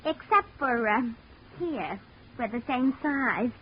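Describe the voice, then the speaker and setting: A woman's voice: high-pitched, animated, slow. A woman playing a sassy showgirl maid who talks with affected formality and slangy grammar in a 1940s radio drama. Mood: sassy, dry. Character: sassy female maid and showgirl putting on airs. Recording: dull, noisy old radio recording.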